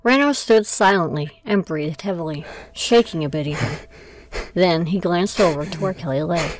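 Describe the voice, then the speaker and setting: A woman's voice: on the low side, moderate pace, poor audio. A woman narrating a scripted audio drama as its storyteller.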